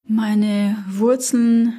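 A woman's voice: fairly high pitch, flat delivery, very slow, warm tone, faint music behind. A woman from Lebanon with an Arabic accent, whose first language is Arabic, answering interview questions thoughtfully with frequent hesitations. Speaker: podcast guest, campaigner.

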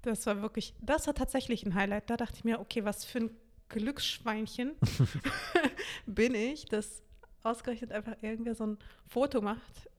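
A woman's voice: fairly high pitch, moderate pace. Playful young woman chatting. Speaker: podcast co-host. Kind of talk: casual chat.

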